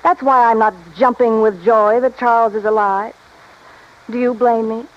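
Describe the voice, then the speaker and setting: A woman's voice: on the high side, steady tone, moderate pace, close to an everyday voice. A woman acting a discontented, self-pitying wife in an old radio drama, speaking in a hesitant, confessional manner. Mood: defensive. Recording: dull, faintly noisy old radio recording.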